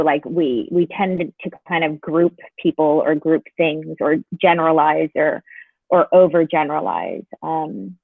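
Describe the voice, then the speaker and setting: Guy on a video call, kinda high voice, um-ing a lot, tinny phone sound. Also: creaky, no echo. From California. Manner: hesitant, thinking aloud with many fillers. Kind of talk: discussion forum on a call.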